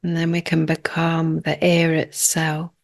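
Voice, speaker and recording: Female meditation guide: very slow, even delivery, calm, short phrases, generous pauses. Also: deep voice, gravelly. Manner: calm, unhurried, hushed phrases with long pauses.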